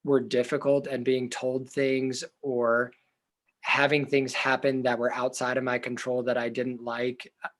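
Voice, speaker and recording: A young man, a therapist, talking earnestly in an interview with a loud voice, thinking aloud with fillers and restarts. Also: mid-range voice, monotone, unhurried. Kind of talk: reflective casual interview talk.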